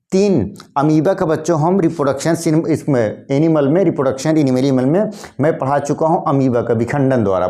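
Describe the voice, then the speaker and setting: Hoarse guy teaching biology, bit of a cold, mellow voice, keeps repeating stuff and clearing his throat. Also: fairly high pitch. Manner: repetitive, addresses students as kids, frequent throat clearing. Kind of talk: classroom lesson addressing students.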